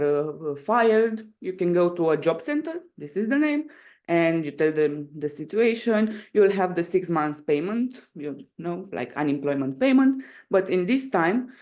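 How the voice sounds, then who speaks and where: A woman's voice: fairly low pitch, darkish tone. A woman in her twenties from Romania with a Romanian accent, answering interview questions casually and hesitantly, searching for words in her non-native English. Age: 20s. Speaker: podcast guest.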